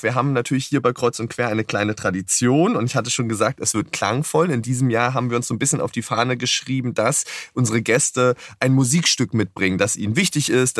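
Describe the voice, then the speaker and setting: A man's voice: medium pitch, dry recording. Attentive male podcast host, dry close sound. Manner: attentive, frequent affirming murmurs, hedging phrasing. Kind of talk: interview conversation.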